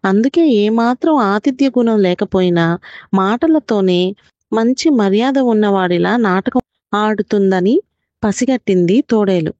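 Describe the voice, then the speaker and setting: A woman's voice: mid-range voice, animated, measured pace, a little mellow, no echo, lo-fi sound. A woman telling a scripted children's story, as a mother would to her child.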